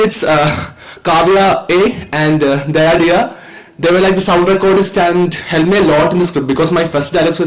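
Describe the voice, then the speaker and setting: Indian guy about 19, kinda high voice, earnest stumbling thank-you speech. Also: poor audio. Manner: earnest, halting. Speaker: student filmmaker. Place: event stage at a film festival.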